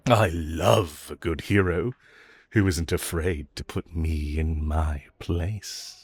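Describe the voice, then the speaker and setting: Man acting a low, husky, flirty villain. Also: dry recording, exaggerated voice. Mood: smug, flirtatious. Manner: teasing, drawn-out, flirty delivery with playful swoops. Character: flirtatious, teasing male villain, playfully provoking his hero rival. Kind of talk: romantic audio roleplay acting addressed to listener.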